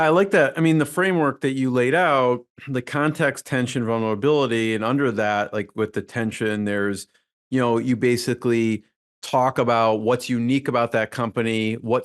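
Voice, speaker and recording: Man host summarizing, mid-pitched voice. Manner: thinking aloud, summarizing with fillers. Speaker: podcast host. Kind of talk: conversational interview.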